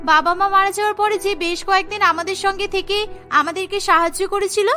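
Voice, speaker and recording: A woman's voice: very high voice, steady tone, fast, bright, forceful tone, faint music behind. A woman voicing a devoted, grateful elder sister in a children's cartoon, speaking earnestly and emotionally.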